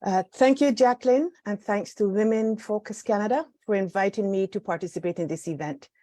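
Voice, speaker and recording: A woman from Barbados with a Barbadian accent, giving an earnest, measured prepared talk as a guest speaker, with occasional restarts. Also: medium pitch, mellow, no room sound.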